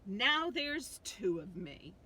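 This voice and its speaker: A woman voicing an excitable, mischievous little male creature from a children's storybook, coaxing and exclaiming playfully. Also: mid-range voice, takes it slowly, full character voice. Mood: excited alarm.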